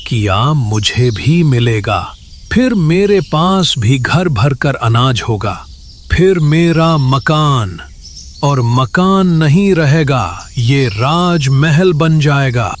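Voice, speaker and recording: A man's voice: medium pitch, lively intonation, slow pace, a little hiss or hum. A man playing a greedy, scheming villager who puts on whiny pleas of poverty in a children's cartoon. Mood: covetous. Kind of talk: Hindi cartoon moral-tale voice acting.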